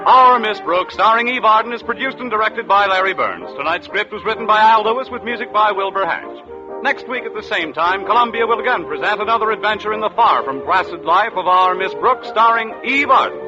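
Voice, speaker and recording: High-pitched man, vintage radio announcer. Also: expressive, fairly quick. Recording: dull 1940s broadcast recording. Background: music.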